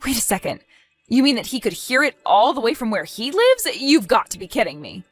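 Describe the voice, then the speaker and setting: A woman's voice: fairly high pitch, very animated, brisk, soft background music. A woman acting an evasive wife in a dramatized story, sweet but easily flustered and indignant, with theatrical expression. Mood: embarrassed, flustered. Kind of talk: dramatized text-message story narration.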